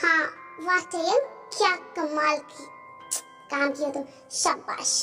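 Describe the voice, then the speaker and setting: A woman's voice: very high-pitched, lively intonation, normal speed, faint music behind. A woman voicing a jealous, sulky young wife in a romance story, snapping and sarcastic. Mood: sulky muttering.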